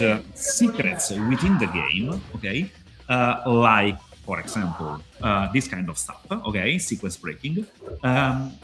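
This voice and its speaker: Game designer, male, Italian accent. Medium-pitched, halting and repetitive casual explainer, with music playing behind him. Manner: halting, repetitive, punctuated with "okay".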